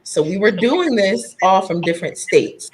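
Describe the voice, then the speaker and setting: A soft-voiced woman singer from New Jersey, chatting casually on a live video stream, laughing often and talking straight to her viewers. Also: medium pitch, animated, normal speed, noisy background.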